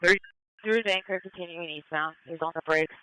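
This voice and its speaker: Bright, crisp male air unit on police radio. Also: on the high side, a little fast, no echo, poor audio. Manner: terse, running call-outs of directions. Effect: police radio transmission. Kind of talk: police radio pursuit commentary.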